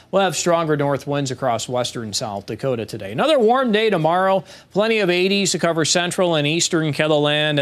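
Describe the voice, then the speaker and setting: A man presenting the weather forecast on television, relaxed and conversational, with a few fillers. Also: a little high. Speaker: meteorologist. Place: TV weather studio.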